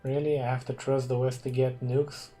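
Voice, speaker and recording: A Romanian man, a non-native English speaker, casually commenting on a strategy game and thinking aloud with hums and pauses. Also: mid-range voice, flat delivery, warm tone, faint music behind. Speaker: gaming commentator.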